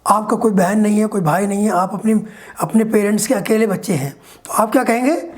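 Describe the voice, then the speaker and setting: A woman teaching in a video lesson, explaining step by step and reading example sentences slowly. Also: medium pitch, a little mellow. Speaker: English teacher.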